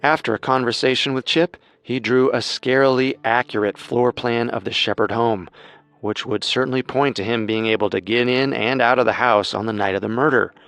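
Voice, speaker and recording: A man's voice: mid-range voice, steady tone, normal speed, fairly bright tone, no echo. A man reading scripted true-crime narration. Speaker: narrator, co-host. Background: music.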